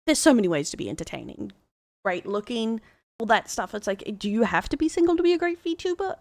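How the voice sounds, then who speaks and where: A woman's voice: fairly high pitch, sing-song, fairly quick, a little mellow, dry recording. A woman with an Australian accent, chatting casually as a VTuber reacting to fan posts, laughing often and amused. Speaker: VTuber commentator.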